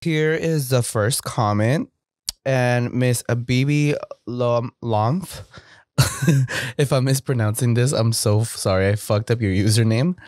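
A man's voice: mid-range voice, slow pace, darkish tone, no echo, clean studio sound. A man co-hosting a podcast, chatting casually, laughing often and joking crudely. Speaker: podcast co-host.

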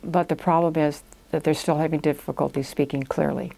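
A woman's voice: deep voice, steady tone, fairly quick, vocal fry, mellow, rough recording. An older woman giving conversational, explanatory answers as a guest in a studio interview. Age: older, about 60s or 70s. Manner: explanatory, step-by-step. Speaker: guest, language institute owner. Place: small indoor podcast studio.

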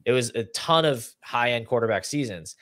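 A man's voice: mid-range voice, expressive, moderate pace, fairly bright tone, no echo. A young man hosting a fantasy football podcast, talking conversationally and explaining figures in an analytical way. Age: young adult. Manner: explanatory, runs through figures and lists. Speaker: podcast host. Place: small room at home, indoors. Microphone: video call setup.

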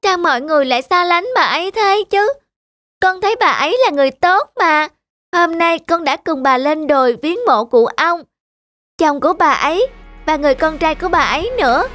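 A high-voiced, innocent little girl. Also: animated, normal speed, fairly bright tone, no echo, faint music behind. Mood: earnest, pleading. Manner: polite, earnest. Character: innocent, kind, curious little schoolgirl. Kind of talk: dubbed cartoon dialogue.

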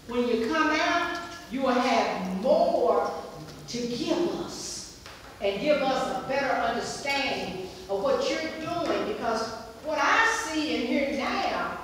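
A woman's voice: high-pitched, slight background noise. A middle-aged woman with a West Virginia accent, standing to speak at a public hearing, emphatic and repetitive. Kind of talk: impassioned public comment. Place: community center meeting room. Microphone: far camera across the room. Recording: echoey room from far off.

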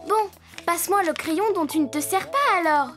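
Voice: very high-pitched, normal speed, a bit bright, faint music behind. An actor voicing a sassy, stubborn little girl donkey in a French preschool cartoon, with a pert, childish manner. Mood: insistent.

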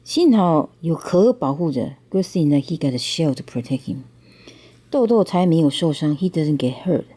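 A woman's voice: low voice, lively intonation, moderate pace, warm tone. A woman reads a picture book aloud to a child, explaining bilingually and checking understanding with questions. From Taiwan.

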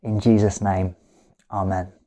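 British man, mellow-voiced pastor. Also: low-pitched, flat delivery, measured pace. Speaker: pastor, service host. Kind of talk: church service welcome and announcements. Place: living room at home, indoors.

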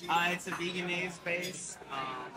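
A woman's voice: fairly low pitch, unhurried, over a noisy crowd. A woman working as a restaurant server, answering a question hesitantly, searching for words.

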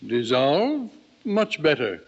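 An actor playing an aging, diplomatic elder statesman in an old-time radio drama, measured and courtly. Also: on the high side, huge pitch swings, exaggerated voice. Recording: muffled old radio recording.

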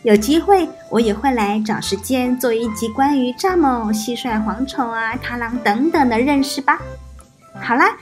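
A woman hosting a preschool storytime, narrating expressively and speaking directly to small children. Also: a little high. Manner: addresses small children with questions and soft particles.

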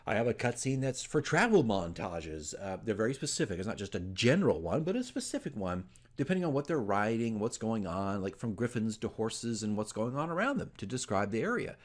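A man's voice: on the low side, big pitch swings, a little fast, few pauses. A man in his forties hosting a casual opinion vlog, talking emphatically and conversationally as a game master giving tips. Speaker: dungeon master giving tips as channel host. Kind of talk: casual opinion talk to camera. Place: small furnished room at home, indoors. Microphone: desk microphone in front of him.

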